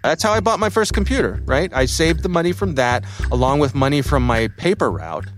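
A man's voice: fairly high pitch, very animated, brisk, faint music behind. A middle-aged man with an American accent, a podcast host talking through his own story in a conversational, thoughtful way. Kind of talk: reflective personal storytelling for a podcast.

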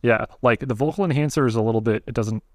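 A man's voice: mid-range voice, fast talker, dry recording, studio quality. A man hosting a casual podcast, talking in a loose, chatty way with a nasal voice. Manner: chatty, loose, many "like" fillers. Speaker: podcast host. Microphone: close studio mic angled up.

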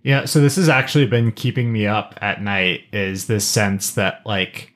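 A middle-aged podcast co-host chatting casually, with an informal, halting delivery and slangy asides. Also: medium pitch, steady tone, fairly bright tone. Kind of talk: casual chat.

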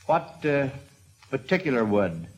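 A man's voice: medium pitch, big pitch swings, unhurried, darkish tone, dull audio. A man hosting an old-time radio quiz for children, speaking with hesitations in a vintage broadcast recording. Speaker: quiz show host.

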